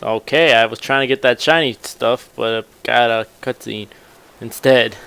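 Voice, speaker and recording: A man's voice: mid-range voice, moderate pace, gravelly, a bit bright, clean tone. A man doing casual gameplay commentary, rambling and muttering to himself as he plays. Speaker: gamer, let's player.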